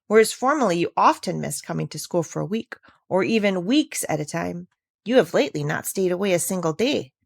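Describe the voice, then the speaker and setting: A woman's voice: fairly low pitch, expressive, brisk, gravelly, dry recording, clean studio sound. A woman voicing a long-suffering male schoolteacher in a children's storybook, formal, wordy and pompous, full of disbelief. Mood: curious. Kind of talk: children's storybook read aloud.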